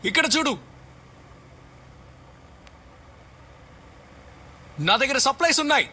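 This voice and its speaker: A woman's voice: high voice, expressive, rapid-fire, sharp tone. A woman voicing an urgent young soldier teammate in a battle game, giving short, clipped commands. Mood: urgent, alarmed. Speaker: game character voice pack. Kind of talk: short shouted tactical game callouts.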